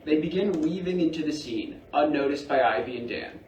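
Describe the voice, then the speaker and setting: A man's voice: a little high, expressive, a little fast, darkish tone, dull audio. A man giving scripted narration, reading out stage directions at a staged play reading. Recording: echoey room, distant mic, faint noise.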